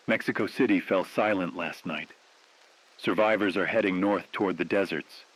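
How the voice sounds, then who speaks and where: A man playing a grave, defiant radio host at the world's end, delivering scripted news in a solemn, poetic, measured way. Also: deep voice, fairly bright tone, rough recording. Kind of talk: scripted dramatic apocalyptic news broadcast.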